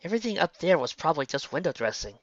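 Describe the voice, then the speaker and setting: A narrator voicing a calm, studious female unicorn pony who leads the group in a composed, instructing way. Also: medium pitch, racing, no room sound, lo-fi sound, pitched above the performer's own voice. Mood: composed, commanding.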